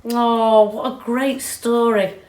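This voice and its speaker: A woman reading a children's storybook aloud with expressive, deliberate delivery. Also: fairly high pitch. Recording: roomy, echoey sound.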